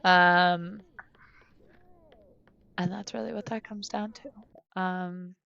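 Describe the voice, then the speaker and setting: Slow, rambling American woman streamer. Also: on the low side, fairly even intonation, creaky, a bit bright, lo-fi sound. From United States. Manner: rambling, hesitant, many fillers, talks to her kids between points. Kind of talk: casual chat while playing a life-sim game live. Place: home room.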